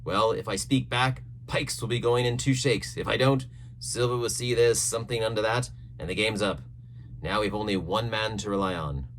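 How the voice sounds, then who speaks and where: A man voicing a blunt, resolute ship's captain in an adventure storybook, speaking briskly and formally. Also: fairly low pitch, slight background noise, natural voice, poor audio. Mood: urgent, grave. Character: blunt, resolute male ship's captain planning against mutiny.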